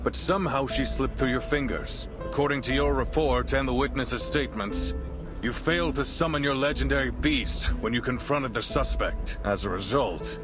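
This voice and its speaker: A man's voice: mid-range voice, music in the background, natural voice. Stern police deputy chief, acted by a man. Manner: authoritative, formal, measured.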